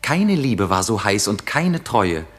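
Low, husky, ardent young prince. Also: male voice, big pitch swings, slow, faint music behind, heavily acted. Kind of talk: German classical radio play acting.

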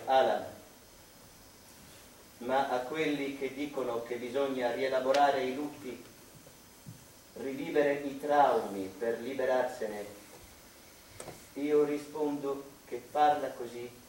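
A male actor playing a gentle, dreamy mother comforting an adult son in a theatrical radio drama, hushed and lingering on words. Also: medium pitch, a little hiss or hum, natural voice. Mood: weary, firm. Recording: echoey room, distant microphone, music behind.

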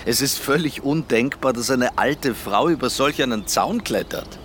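A man's voice: medium pitch, lively intonation, moderate pace, a bit bright, a little hiss or hum, natural voice. A man playing a sceptical, exasperated husband in a radio drama, placating and insistently logical, with an Austrian accent. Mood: exasperated, reasoning.

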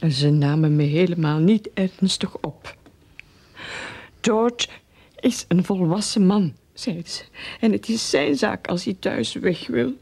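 An actress playing a worried, meek elderly mother in a radio drama, halting and breathy. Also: fairly low pitch, darkish tone, heavily acted. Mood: anxious. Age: older. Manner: halting, fretful.

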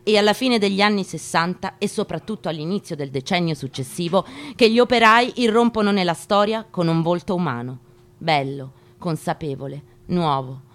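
A woman giving a dramatic literary reading, measured and emphatic, pausing between short phrases. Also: lowish voice. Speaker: actress giving a staged reading.

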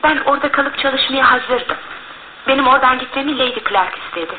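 A woman's voice: high-pitched, brisk, lightly acted. An actress playing a composed, polite, wronged young woman, speaking earnestly and with measure in a radio drama. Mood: earnest, hurt. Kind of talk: Turkish radio theatre acting. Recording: tinny old radio broadcast with clear noise.

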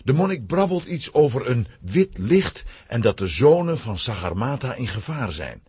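A man narrating a children's adventure audio drama in an animated, suspenseful manner. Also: medium pitch, normal speed, slightly rough, dry recording. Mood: lively, dramatic.

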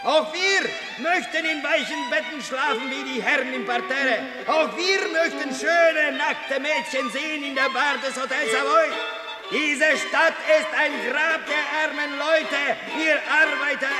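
Fiery agitator, extremely high straining voice, declamatory shouting, echoing hall, loud music behind. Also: male voice, unhurried. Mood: fervent agitation. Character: fiery high-pitched revolutionary agitator rousing poor workers. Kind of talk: shouted radio drama oratory.

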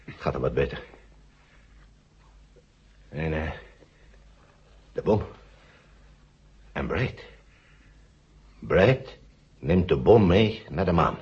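An actor in a 1970 science-fiction radio drama playing a dazed, anxious astronaut coming out of hypnosis, halting and groping for words. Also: male voice, a bass voice, animated, darkish tone, husky, highs cut off, full character voice. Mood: dazed, pained.

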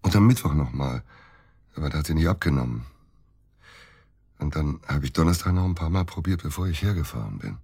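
An actor playing a disgruntled middle-aged provincial surgeon, grumbling and curt, in a naturalistic radio drama. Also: male voice, rumbling low, expressive, fairly quick, long pauses, darkish tone, slightly rough, no room sound, studio quality, full character voice. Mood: worried. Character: disgruntled middle-aged provincial surgeon, worried about his missing wife.

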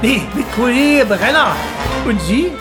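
An actress playing a chatty, teasing guest at a costume ball in a radio play. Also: female voice, a little high, lively intonation, measured pace, over music.

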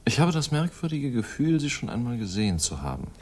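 A man's voice: mid-range voice, big pitch swings, a little fast, husky. An actor playing a suave, haughty ladies' man, urbane and condescending, easily irritated, in a 1960s German radio crime drama. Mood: suave, wary.

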